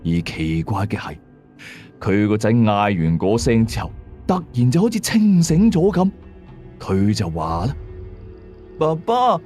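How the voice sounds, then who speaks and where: A man with a Hong Kong Cantonese accent tells a horror story in the first person, in a suspenseful, colloquial, expressive way. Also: fairly low pitch, a little slow. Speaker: ghost story narrator. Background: music behind the voice.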